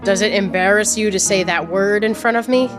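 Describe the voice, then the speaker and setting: An actress in a radio play as a brave, self-denying soldier-poet who speaks in a guarded, theatrical way. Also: female voice, mid-range voice, fairly quick, lightly acted. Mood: wistful, urgent. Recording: music under the dialogue.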